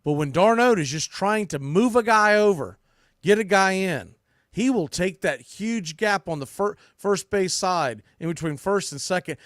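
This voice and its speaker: A man's voice: high voice, no room sound, studio quality. A man hosting a sports podcast, giving a blunt, opinionated recap with emphatic repetition and mild swearing. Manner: blunt, ranting, mildly profane; emphatic, repeats phrases for punch. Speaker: podcast host, sports commentator.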